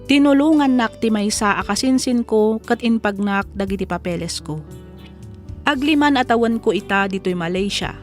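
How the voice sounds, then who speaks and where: A woman's voice: medium pitch, moderate pace. A woman with an Ilocano accent giving dramatic scripted narration, reading a letter for a radio drama. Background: music.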